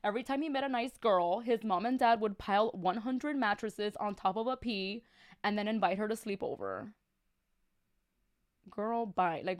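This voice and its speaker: A woman reading a story on a live stream, throwing in sassy, slangy, exasperated asides. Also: mid-range voice.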